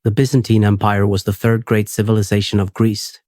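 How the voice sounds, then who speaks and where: A man hosting a sleep podcast, reading a script in a steady, unhurried, soothing way. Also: on the low side, no room sound, studio quality.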